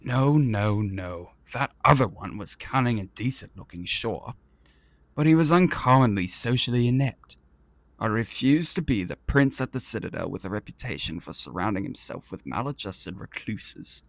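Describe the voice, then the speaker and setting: An actor playing an arrogant, vain and petulant prince in a fantasy audio roleplay, speaking in a pompous, theatrical and condescending manner. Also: male voice, on the low side, lively intonation, moderate pace, gravelly, no echo. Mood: petulant, indignant. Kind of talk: scripted ASMR roleplay audio drama.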